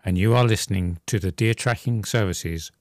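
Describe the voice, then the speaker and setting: A man's voice: fairly low pitch, moderate pace. A man hosting a podcast interview outdoors in a forest, introducing his guest in a friendly, conversational way. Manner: friendly, conversational, some filler hedges. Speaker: podcast host.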